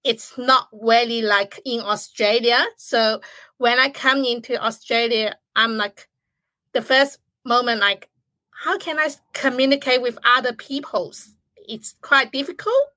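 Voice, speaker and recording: A young woman from China with a Chinese accent, speaking English as a second language in a halting way with grammar slips, as a podcast guest. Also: a little high, sharp tone, dry recording. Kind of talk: podcast interview.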